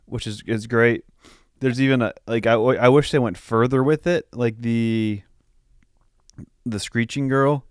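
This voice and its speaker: A man's voice: mid-range voice, steady tone, unhurried, clean tone, no room sound, clean studio sound. A man co-hosting a podcast, talking casually and feeling his way through his opinions. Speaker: podcast co-host. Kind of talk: casual movie review chat.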